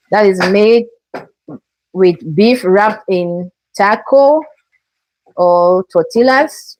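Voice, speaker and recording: A woman's voice: medium pitch, darkish tone, dry recording, rough recording. A woman from Cameroon hosting a live cooking stream from her kitchen, talking viewers through each step and greeting them. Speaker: cooking show host.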